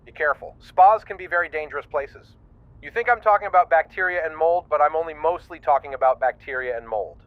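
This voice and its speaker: A man playing a quirky, earnest, fretful young investigator in an audio drama, deadpan and precise, heard over a phone call. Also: fairly high pitch, quick pace. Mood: deadpan worry. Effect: phone call filter. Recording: thin, tinny phone line.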